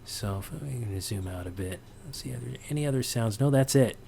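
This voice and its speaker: A man giving an informal sound design tutorial, halting as he thinks aloud. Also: mid-range voice, gravelly, dark tone, husky.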